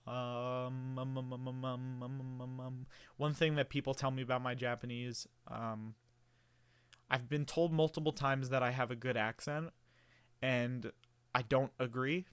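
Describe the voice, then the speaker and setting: Early-20s male vlogger, mid-pitched, clean resonant tone, loose meandering delivery. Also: fairly even intonation, unhurried, a bit bright, smooth, no echo. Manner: meandering, filler-heavy, restarts often. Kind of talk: casual rambling monologue.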